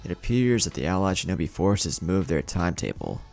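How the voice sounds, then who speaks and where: A man's voice: low voice, sing-song, fairly quick, creaky, a little mellow, full character voice. A man voicing a sly, servile plant-like clone henchman in an anime story, reporting deferentially, with music behind.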